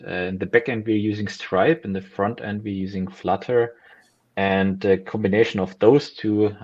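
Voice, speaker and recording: A man from Germany, a guest on a developer livestream, speaking briefly and matter-of-factly. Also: lowish voice. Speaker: guest, tech company CTO. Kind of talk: casual livestream chat. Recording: dull lo-fi stream audio.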